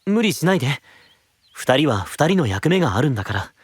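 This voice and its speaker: Breathy, thoughtful young knight. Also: male voice, a little high, very animated, no echo. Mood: kindly. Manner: soft, measured, reflective explaining. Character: calm, thoughtful young male knight, secretive but gentle. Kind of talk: anime-style voice drama acting.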